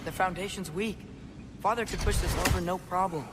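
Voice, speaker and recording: A man's voice: high voice, fairly quick, heavy background noise, close to an everyday voice. An actor playing an earnest, curious teenage boy in a video game, eager and inquisitive.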